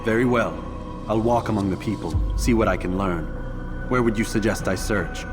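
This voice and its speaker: An actor playing a proud, stern assassin in a video game, terse and serious, always questioning. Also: male voice, on the low side, moderate pace, natural voice. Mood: resolute. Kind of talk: dramatic video game acting. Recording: echoey hall with music behind.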